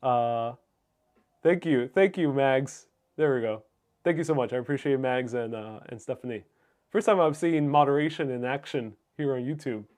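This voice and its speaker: Higher-voiced man, native Spanish speaker, chatting casually. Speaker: livestream host. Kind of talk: casual chat, reading and answering viewer comments.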